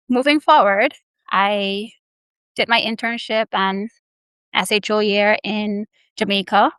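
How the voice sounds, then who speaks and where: A woman's voice: mid-range voice, creaky, a bit bright, no echo, rough recording. A young woman from the Bahamas and Barbados, answering interview questions earnestly with many hesitant fillers. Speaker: guest, anesthesiology resident.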